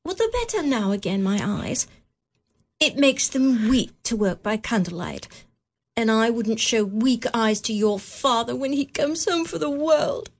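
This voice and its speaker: An actress playing a worn, grieving mother in a radio drama, quiet and tender. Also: a little high, lively intonation, measured pace.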